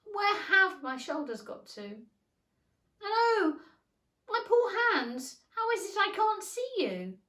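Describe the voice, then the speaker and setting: A woman's voice: very high-pitched, sing-song, slow pace, pushed and bright, voice pushed up, heavily acted. A woman voicing a polite, fretful little girl from a storybook, prim and plaintive, exclaiming as she speaks. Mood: alarmed. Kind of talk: storybook character reading. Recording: echoey room.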